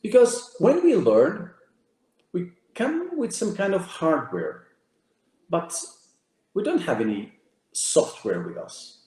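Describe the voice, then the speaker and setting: A man's voice: high voice, wildly swooping pitch, takes it slowly, pauses often, darkish tone, echoey room. A man whose first language is Portuguese, giving an emphatic presentation to an audience, counting off key ideas and repeating words as he goes. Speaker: speaker giving a talk.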